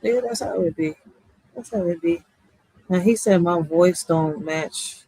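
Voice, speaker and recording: A woman's voice: lowish voice, measured pace, gravelly, slightly rough, no echo, rough recording. A woman with a small, girlish voice, chatting casually in blunt slang and often raising her voice as a streamer. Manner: animated, blunt, slangy, raises voice. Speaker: streamer host. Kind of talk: casual reaction chat.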